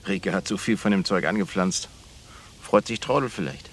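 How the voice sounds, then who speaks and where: An actor playing a deliberate, conflicted small-town lawman in radio play dialogue. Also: low voice, a little hiss or hum. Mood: wry, cautious.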